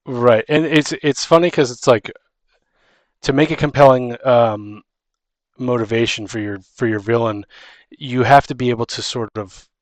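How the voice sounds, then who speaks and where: A man's voice: mid-range voice, fairly even intonation, normal speed, no room sound. A man co-hosting a podcast in casual chat about tabletop gaming, often backtracking mid-sentence. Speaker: podcast co-host and game master.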